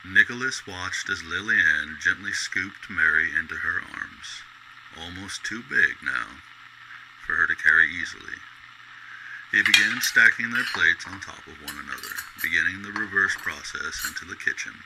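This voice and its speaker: A man narrating a scripted radio drama in a deliberate, descriptive storytelling style. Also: low voice, noisy background.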